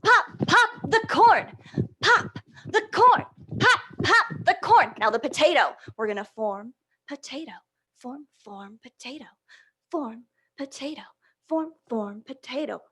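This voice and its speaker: A woman with a fairly high voice leads a storytime for young children. She reads in an animated, playful style and guides little listeners through actions. Her manner is encouraging, often checking in with them as she goes. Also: measured pace. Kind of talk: animated picture-book reading and action songs for young children.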